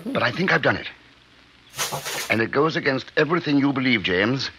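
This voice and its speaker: A man's voice: mid-range voice, expressive, fairly quick, natural voice. A man playing a troubled, fearful scientist in a vintage radio drama, speaking hesitantly and dramatically on a noisy old recording. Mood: intense. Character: troubled, fearful surgeon-scientist confessing a secret experiment.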